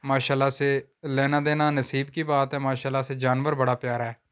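A man walks viewers through cattle for sale on a farm, talking in plain, unhurried sales patter and filming on a handheld phone outdoors. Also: medium pitch, completely flat delivery. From Pakistan. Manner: repeats a stock religious phrase often. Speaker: livestock seller vlogger. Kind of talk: casual sales walkthrough.

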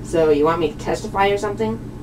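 A woman voicing a blunt, grumpy female witness with an offhand, sardonic deadpan in a puppet show. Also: fairly low pitch, fast talker, natural voice. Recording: distant mic with clear background noise.

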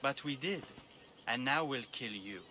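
An actor playing a menacing enforcer of an ancient priesthood in a video game, smugly polite and threatening. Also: male voice, medium pitch, animated, a little slow, noisy background, close to an everyday voice. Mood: cold, threatening. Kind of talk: video game drama acting.

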